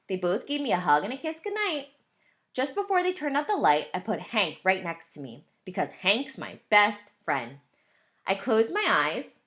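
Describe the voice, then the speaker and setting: A woman's voice: mid-range voice, pushed and bright. A woman teacher reading a picture book aloud to young children, explaining and asking questions along the way.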